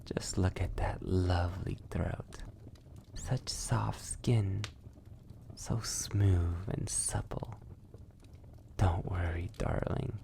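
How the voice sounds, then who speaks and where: A man's voice: low voice, fairly even intonation, extremely slow, vocal fry, long pauses, dark tone, exaggerated voice. A man playing a sadistic, possessive, centuries-old vampire in an intimate ASMR roleplay, silky and menacing, often dropping toward a whisper. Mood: predatory, coaxing.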